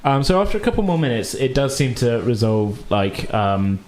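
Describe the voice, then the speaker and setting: A man acting as game master, narrating a fantasy tabletop game casually and haltingly as he searches for words. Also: medium pitch, big pitch swings, a little mellow.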